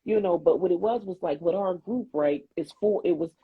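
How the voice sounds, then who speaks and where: A woman's voice: on the low side, a little fast, slightly rough, no room sound. A woman, a therapist, telling stories in casual podcast chat, swearing freely and acting out what others said. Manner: animated storytelling, swears freely, acts out quotes. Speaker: podcast co-host; therapist and CPR instructor. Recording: tinny phone-like line, dry.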